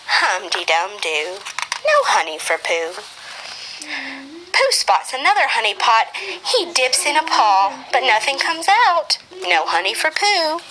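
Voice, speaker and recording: A woman narrating a children's storybook for a puppet show in an expressive, sing-song read-aloud manner. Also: high voice, slow, a little hiss or hum, rough recording.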